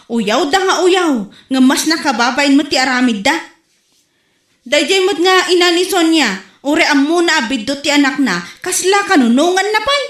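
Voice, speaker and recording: A woman's voice: high-pitched, expressive, moderate pace, a bit bright, reverberant. A woman playing a gossiping neighbor in a comedic audio drama, sounding scandalized.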